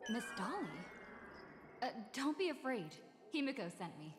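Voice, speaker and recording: A cheeky, confident young female traveler whose voice rises and falls with lively swings. She talks with playful confidence. The sound is roomy with long reverb and clear game music. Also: on the high side, normal speed.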